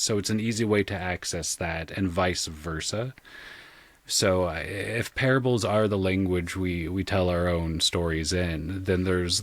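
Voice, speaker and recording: Low-voiced man, close and dry. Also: no echo. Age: millennial, 30s or so. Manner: halting, thoughtful, with frank, casual swearing. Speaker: guest, audio drama creator and game designer. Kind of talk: casual podcast interview talk.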